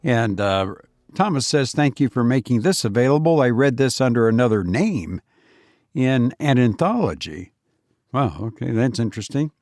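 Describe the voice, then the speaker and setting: A man hosting a podcast and telling stories informally in the first person, with a halting, hesitant delivery. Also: medium pitch, big pitch swings, a little mellow, dry recording, studio quality. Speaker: podcast host and narrator.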